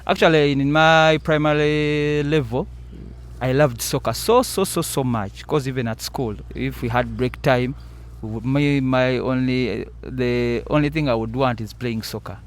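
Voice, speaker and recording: A young man from Uganda with a Ugandan accent answering interview questions, earnest and soft-spoken in short phrases. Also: mid-range voice, steady tone, measured pace. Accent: Ugandan English. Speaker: footballer guest.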